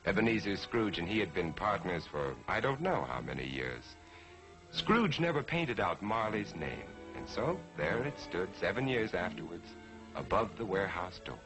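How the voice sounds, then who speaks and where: A man narrating as a storyteller in a theatrical scripted style, deliberate and emphatic, on a vintage 1940s television soundtrack. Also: low voice, sing-song, over music, low-quality recording. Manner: deliberate, emphatic, dramatic phrasing.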